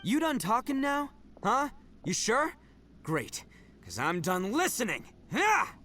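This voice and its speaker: An actor playing a cocky young male oni brawler who talks loud and teasing. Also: very high-pitched, sing-song, takes it slowly, heavily acted. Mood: boisterous.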